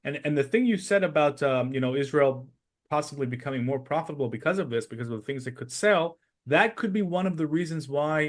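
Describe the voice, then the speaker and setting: A man discussing politics analytically as a podcast host, explaining his points step by step with emphasis. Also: mid-range voice.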